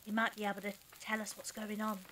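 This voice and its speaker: A woman's voice: mid-range voice, monotone, quick pace, sharp tone, a little hiss or hum, lightly acted. A woman playing a practical, caring and level-headed character in an audio drama, firm with the others.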